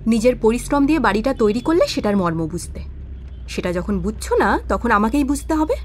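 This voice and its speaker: An actress playing a fed-up, scornful ex-wife in an audio drama, cutting, commanding and reproachful. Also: female voice, a little high, animated, fairly quick, darkish tone, lightly acted. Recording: vehicle noise behind the voice. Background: car ride ambience.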